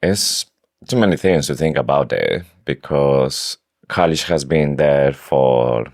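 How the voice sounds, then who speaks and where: A podcast co-host casually discussing books, with halting, non-native phrasing. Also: rumbling low, expressive, a little mellow, dry recording, studio quality.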